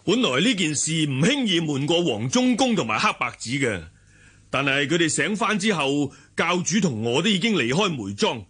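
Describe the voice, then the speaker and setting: Forthright sworn big brother for martial-arts radio drama; animated, highish voice, Hong Kong Cantonese accent. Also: male voice, normal speed, husky, full character voice. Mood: hearty, apologetic. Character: shrewd, hearty sworn elder brother, loyal martial-arts lieutenant.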